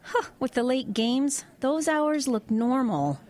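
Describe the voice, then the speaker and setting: A woman's voice: high voice, lively intonation, slow pace, a little mellow. An actress playing a blunt, nosy small-town woman in an audio drama, with a pressing, plain-spoken manner. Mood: skeptical, pressing. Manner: pressing, plain-spoken, exasperated folksy banter.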